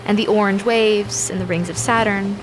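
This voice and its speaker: An actress playing a dreamy, romantic female astronaut in an audio sci-fi drama, speaking in halting, wistful musings. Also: medium pitch, steady tone, close to an everyday voice, low-quality recording. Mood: dreamy, tender. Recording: music behind the voice.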